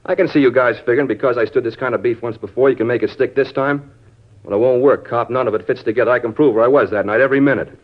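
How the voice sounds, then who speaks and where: Fast, blustering crook played by an actor. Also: male voice, mid-range voice, fairly even intonation, lightly acted. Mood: indignant, cocky. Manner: argumentative, blustering, slangy street talk. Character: defiant, cagey ex-convict murder suspect, man. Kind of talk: 1950s radio crime drama acting. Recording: dull, lo-fi vintage radio recording.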